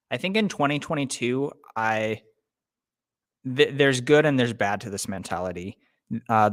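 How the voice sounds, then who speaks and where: A man's voice: lowish voice, no echo, clean studio sound. Laid-back young man hosting a live stream. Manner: relaxed, many ums, greets chat viewers. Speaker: livestream host. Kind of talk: casual live stream chat. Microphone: webcam setup.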